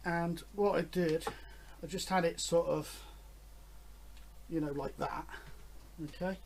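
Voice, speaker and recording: A man in his fifties with a fairly high voice chats to his viewers about his hobby. His delivery is rambling and hesitant, with an enthusiastic, conversational feel. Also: fairly even intonation, slow pace, gravelly, slight background noise. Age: 50s. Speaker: host of a radio hobby vlog. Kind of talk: casual, rambling chat to camera.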